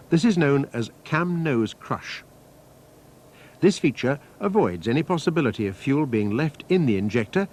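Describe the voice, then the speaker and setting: A man reading a scripted technical training film narration in a measured, precise, instructional manner. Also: medium pitch, darkish tone. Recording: noisy archive training-film soundtrack.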